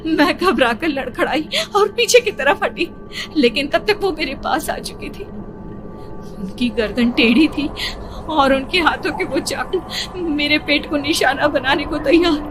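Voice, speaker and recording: A woman narrating a horror story in the first person, with a suspenseful, drawn-out and expressive delivery. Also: sits high. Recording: music behind the voice. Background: background music.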